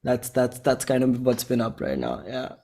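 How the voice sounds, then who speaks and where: Indian male, twenties, mid-pitched. Musician in a loose interview chat, slangy and rambling. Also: big pitch swings, moderate pace, mellow. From Bhopal, India. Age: 20s. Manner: informal, slangy, rambling with frequent "like". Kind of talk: casual chat.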